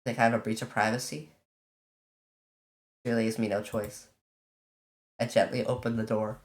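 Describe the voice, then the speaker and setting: A let's player casually commenting on a visual novel and reading its text aloud, in an informal, halting way. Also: mid-range voice, level tone, low-quality recording. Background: game music.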